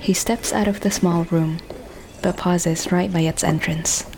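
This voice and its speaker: Female narrator for audio drama: unhurried, measured, understated scripted read, slight background noise. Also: lowish voice, steady tone. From Indonesia.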